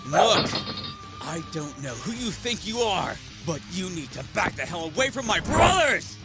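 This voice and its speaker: A man's voice: sits high, big pitch swings, unhurried, over loud music, heavily acted. A man voicing a serious young ninja turtle leader who speaks earnestly and with command.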